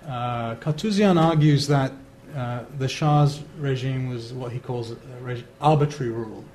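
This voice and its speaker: A man giving an analytical academic talk, measured and steady, with fillers. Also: medium pitch. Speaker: lecturer on Iran. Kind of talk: academic lecture answer. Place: lecture hall. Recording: roomy hall, faint noise.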